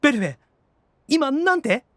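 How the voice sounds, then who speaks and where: A man playing an anxious, excitable young otaku demon brother in an anime-style audio drama, theatrical, moving between awkward muttering and gushing squeals. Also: very high voice, moderate pace, dry recording, studio quality, full character voice. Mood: indignant, astonished.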